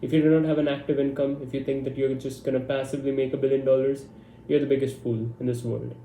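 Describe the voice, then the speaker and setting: A young man in his twenties speaks with blunt, brash confidence. His voice is very dark and mellow, and it rings in an echoey room over a faint background hum. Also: mid-range voice, level tone, fast. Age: 20s. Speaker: vlogger. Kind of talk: casual talking-head monologue.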